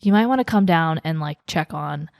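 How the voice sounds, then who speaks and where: A young woman co-hosting a podcast, soft-spoken and quiet, chatting casually with lots of fillers. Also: sits low, expressive, moderate pace, vocal fry, no room sound. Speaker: podcast co-host. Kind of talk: casual chat.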